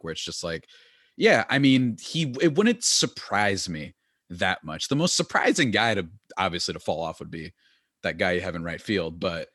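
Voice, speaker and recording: A man co-hosting a podcast in casual chat, animated and talkative, often laughing. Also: sits low, dry recording, clean studio sound. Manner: animated, chatty, laughs often, many "like" fillers. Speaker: podcast co-host.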